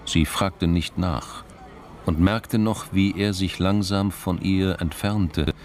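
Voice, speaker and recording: Very deep-voiced male radio drama narrator. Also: fairly even intonation, slow, darkish tone, a little hiss or hum. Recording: music behind the voice. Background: music.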